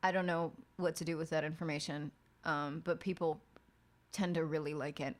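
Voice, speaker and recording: A woman's voice: low-pitched, fairly even intonation, normal speed. A woman streamer and podcast host, chatting casually and rambling with lots of fillers and swearing as she works late at night. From outside the United States. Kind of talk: casual late-night chat while working.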